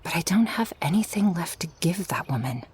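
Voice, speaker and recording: A woman playing a guarded but loving shark girl in an ASMR roleplay, speaking softly and intimately with frequent sighs. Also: lowish voice, expressive, brisk. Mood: resigned. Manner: soft, breathy, intimate, often sighing. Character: guarded, loving shark girl, former freak show performer.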